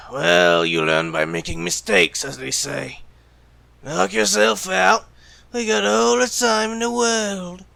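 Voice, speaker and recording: Guy playing a menacing bad cop agent, audio roleplay, pretty high voice for a man, super crackly, talks down to everyone. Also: expressive, slow pace, gravelly, bright, forceful tone, dry recording, exaggerated voice. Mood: jaded, dismissive. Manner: menacing, sardonic, condescending. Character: gruff bloodthirsty veteran male secret-agent interrogator, bad cop. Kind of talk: ASMR roleplay audio drama.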